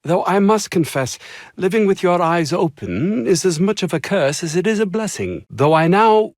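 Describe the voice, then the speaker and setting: An actor playing a haughty, anxious, downtrodden scientist in a video game, husky and speaking in formal, wordy, theatrical phrases. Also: male voice, high-pitched, big pitch swings, normal speed, dry recording, clean studio sound. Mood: reflective. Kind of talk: video game character dialogue.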